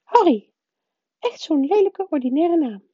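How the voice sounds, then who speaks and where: A woman's voice: high-pitched, expressive, measured pace, warm tone, no echo, lo-fi sound, pitched above the performer's own voice. A woman voicing a prim, easily offended suburban wife in a stiff, curt manner for a storybook. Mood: stiff disdain.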